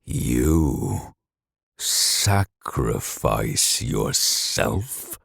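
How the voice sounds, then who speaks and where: A man voicing a menacing horned monster in a storybook tale, with a slow, whispery, growling hiss. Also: extremely low pitch, sing-song, creaky, fairly bright tone, slightly rough, no room sound, studio quality, pitched below the performer's own voice, full character voice. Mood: hungry menace.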